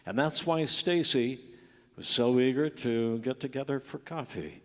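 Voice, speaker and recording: A man narrating a live radio play as a wry storyteller, measured and pausing between phrases. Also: mid-range voice, close to an everyday voice. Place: large hall, live stage show. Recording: reverberant hall, live stage recording.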